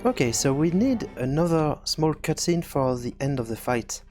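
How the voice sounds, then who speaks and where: A man giving an informal software tutorial, thinking aloud in a halting, self-correcting way. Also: a little high, big pitch swings, soft background music. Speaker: tutorial presenter.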